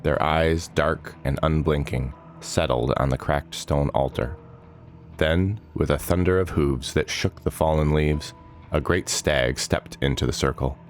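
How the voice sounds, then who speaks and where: Very deep-voiced male fantasy narrator. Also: steady tone, soft background music. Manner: measured, solemn, with weighted short phrases. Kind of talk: dramatic scripted fantasy narration.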